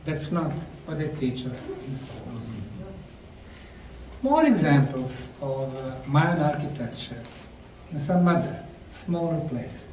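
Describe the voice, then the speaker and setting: A middle-aged man slowly narrating a documentary on location at ancient ruins, explaining the sites like a tour guide. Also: on the high side, animated, darkish tone, a little hiss or hum. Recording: roomy, distant mic with faint outdoor ambience.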